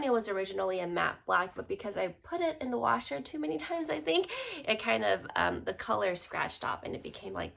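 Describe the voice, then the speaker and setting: A woman's voice: mid-range voice, gravelly, slight background noise. A young adult woman who sounds congested, chatting casually through a bag review vlog at home, with lots of fillers. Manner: relaxed, chatty, many fillers. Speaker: YouTube vlogger.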